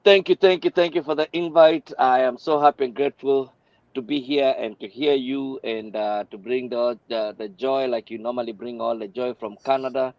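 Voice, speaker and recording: Man coach, average pitch, keen but halting, on dull, muffled call audio. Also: fairly even intonation, a little mellow, no room sound. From Kenya. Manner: enthusiastic, hesitant with many uhs. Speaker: business coach. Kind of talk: casual introduction on a live stream.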